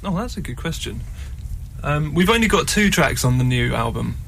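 A man speaking as a musician guest in a casual interview, explaining things in a thoughtful, measured way. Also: a little high, sing-song, gravelly, fairly bright tone, slight background noise. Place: radio studio.

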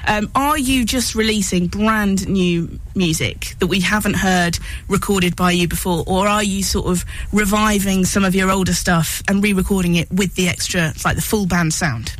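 A woman's voice: medium pitch, moderate pace, hardly any pauses, poor audio. A woman hosting a radio show, interviewing in a conversational, enthusiastic way. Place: radio studio.

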